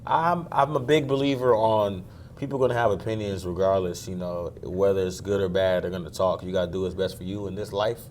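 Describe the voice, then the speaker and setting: Low-voiced young man, relaxed and laughing. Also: brisk, a little hiss or hum. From Maryland, USA. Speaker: guest singer. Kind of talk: casual interview talk.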